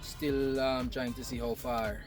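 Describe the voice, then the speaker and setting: A man speaking at a middle pitch, measured and reflective, now and then restarting a phrase. Loud music plays behind his voice. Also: level tone. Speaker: football referee. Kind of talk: outdoor interview answer.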